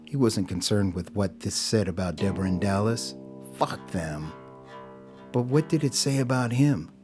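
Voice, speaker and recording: A man with a fairly low voice narrates a scripted story for an audio drama. He tells it as a dramatic storyteller, with soft music underneath. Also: normal speed.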